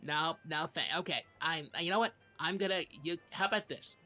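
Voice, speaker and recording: A man's voice: on the high side, moderate pace, pushed and bright, faint music behind. A man playing a flustered, conflict-avoiding small-town podcast co-host, stammering and trailing off in fussy comedy chat. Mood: flustered, exasperated.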